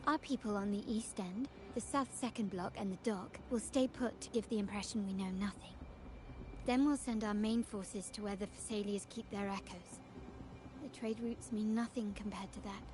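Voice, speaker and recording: A woman's voice: mid-range voice, normal speed, background noise, natural voice. A woman playing a poised, commanding young mafia heiress in a video game, composed and decisive, with an imperious manner. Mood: calm, decisive.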